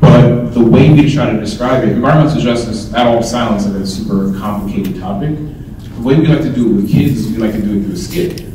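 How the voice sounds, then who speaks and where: A man's voice: medium pitch, a little fast, over music. A young man, a student giving an earnest conference presentation on a handheld mic, explaining things with frequent ums. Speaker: student speaker. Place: large indoor hall with projector screen. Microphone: handheld mic, filmed from far. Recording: roomy hall echo, distant camera.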